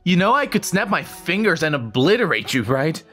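A man's voice: on the high side, very animated, normal speed, a bit bright, faint music behind. A man voicing an exasperated, short-tempered male king of hell in a fan comic dub, whining and snapping. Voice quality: exasperated, whiny.

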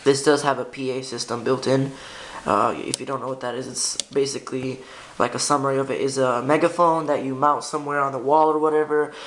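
Man with flat, hesitant, casual delivery. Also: medium pitch, fairly quick. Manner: hesitant, self-correcting, informal. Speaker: hobbyist demonstrating a radio. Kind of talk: casual unscripted gear walkthrough. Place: indoor room by a window. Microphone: handheld camera's built-in microphone. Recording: noisy amateur camera audio with clicks.

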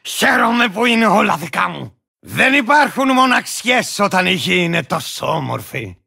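A man voicing a manic, boastful, hot-tempered little warrior creature in a video game, with a gravelly, cracked voice and a ranting, barking delivery. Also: high voice, sing-song, sharp tone, exaggerated voice. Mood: boastful, musing. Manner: ranting, pompous, barking, bursting into cackles. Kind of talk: cartoonish video game character acting.

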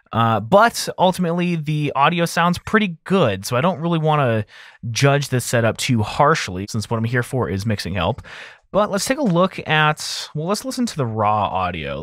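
Guy in his 30s doing a laid out casual tutorial, mid pitch, super dry close mic sound. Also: a bit bright, no echo. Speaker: audio engineer hosting a mixing tutorial. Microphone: close broadcast mic on arm.

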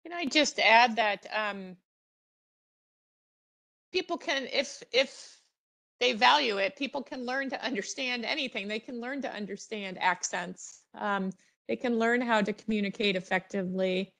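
Earnest older woman with a gravelly edge. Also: a little high, measured pace, a bit bright. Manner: earnest, encouraging. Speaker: panelist. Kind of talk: conference panel comment. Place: office on video call. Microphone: headset.

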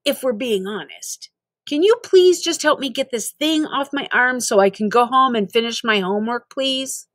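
A woman's voice: high voice, a bit bright, no room sound, studio quality, voice pushed up. A woman voicing an exasperated, panicky sixth-grade boy from a storybook, pleading and protesting. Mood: anxious pleading.

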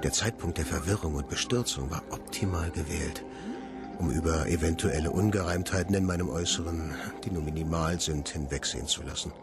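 An actor playing a cold, scheming male scientist in a measured, calculating radio drama monologue. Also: extremely low pitch. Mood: cold, triumphant. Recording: voice over loud music.

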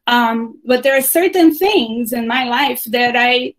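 A woman's voice: on the high side, lively intonation, a little slow, a bit bright. A middle-aged woman from Brazil, a native Portuguese speaker with a Brazilian accent, gives an online university lecture over a video call from a room at home. She explains at length, with many fillers, and keeps checking in with "right?". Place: home room with bookshelves, indoors. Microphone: video call webcam.

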